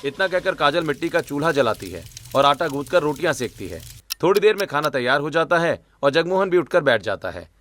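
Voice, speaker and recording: A man's voice: fairly high pitch, very animated, fairly quick, fairly bright tone. A man narrating a scripted cartoon story.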